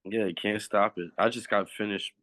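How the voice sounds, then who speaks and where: Laid-back low-voiced man. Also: fairly even intonation, a bit bright. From Long Island, New York. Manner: laid-back, easygoing, quick to laugh. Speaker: podcast guest. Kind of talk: casual chat.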